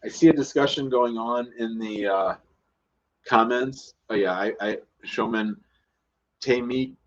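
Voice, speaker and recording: A man's voice: mid-range voice, measured pace. A man hosting a live immigration call-in show, talking bluntly and casually while he thinks aloud. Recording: dull lo-fi stream audio.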